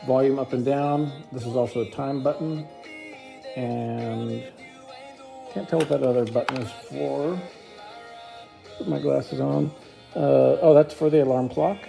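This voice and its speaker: A man's voice: mid-range voice, fairly even intonation, a little slow, vocal fry, pauses often, music in the background. A man giving a casual, informal product review, explaining features plainly step by step.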